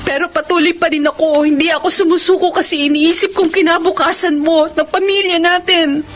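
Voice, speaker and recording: A woman's voice: very high voice, fairly even intonation, brisk, soft background music. A woman acting a devoted, self-sacrificing young working student and elder sister in a radio drama, speaking earnestly and emotionally. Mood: tearful, pleading. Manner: earnest, emotional, pleading delivery.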